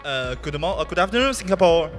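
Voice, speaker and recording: A Chinese man, a sim racer whose first language is Mandarin, introducing himself on stage in halting English with frequent restarts. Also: on the high side, sing-song, long reverb tail, soft background music. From China. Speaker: sim racing competitor. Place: event stage, microphone in a hall.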